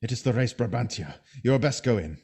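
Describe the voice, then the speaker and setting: A man's voice: mid-range voice, animated, a little fast, creaky, darkish tone, slightly rough. A man playing a resentful, scheming soldier with theatrical delivery in a Shakespearean audio drama. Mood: urgent warning. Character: bitter, scheming male soldier plotting against his master.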